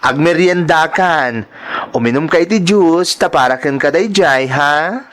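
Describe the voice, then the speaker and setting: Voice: mid-range voice, measured pace, a bit bright, lightly acted. A performer with an Ilocano accent playing a sassy, bossy, evasive transgender woman in an audio drama, flamboyant and scolding. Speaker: voice actor in a radio-style drama.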